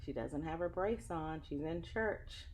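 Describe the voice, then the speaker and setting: A woman's voice: low-pitched, measured pace, darkish tone, a little hiss or hum. A woman reading a children's story aloud like a teacher, with dramatic phrasing and an engaging way of addressing young listeners.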